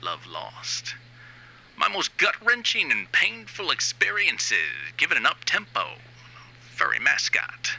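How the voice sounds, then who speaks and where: Man acting a sharp, brash, gravelly rock frontman. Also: fairly high pitch, huge pitch swings, takes it slowly, exaggerated voice, rough recording. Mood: sardonic, intense. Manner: sardonic, emphatic, profane, ranting. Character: brash, cynical, money-hungry aging new wave rock frontman. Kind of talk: satirical dramatic monologue.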